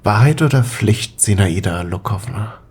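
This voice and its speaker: Casting: young Soviet man, husky, reflective and shy, intimate radio drama dialogue. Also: lowish voice, expressive, unhurried, a little mellow. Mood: pensive, tender.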